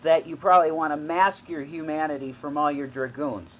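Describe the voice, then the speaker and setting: A man's voice: a little high, fairly even intonation, fairly quick, low-quality recording. A man rambling and repeating himself in a clip recorded in a hotel room. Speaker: streamer in a played clip. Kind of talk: rambling video address.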